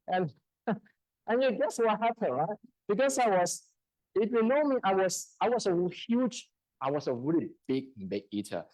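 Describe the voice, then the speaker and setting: A man from China in his thirties, whose first language is a Chinese dialect, telling a personal testimony in church in a halting, storytelling way with frequent laughter. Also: fairly high pitch, darkish tone, no echo, rough recording. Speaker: church speaker. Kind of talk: testimony sermon. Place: church hall.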